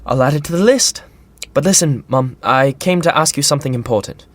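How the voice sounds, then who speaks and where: A man's voice: fairly high pitch, fairly quick, close to an everyday voice. A man playing a stressed, sarcastic young prince in a modern audio drama, dryly delivered and stammering when flustered. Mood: dry, awkward. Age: young adult.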